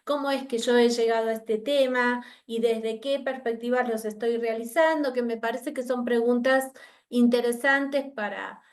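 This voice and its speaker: A woman with an Argentine Spanish accent speaks to students in a university video lecture. Her voice sits a little high for a woman. She talks thoughtfully, with fillers and restarts along the way. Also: fairly even intonation, normal speed. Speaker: university researcher giving a talk. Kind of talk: academic lecture by video conference.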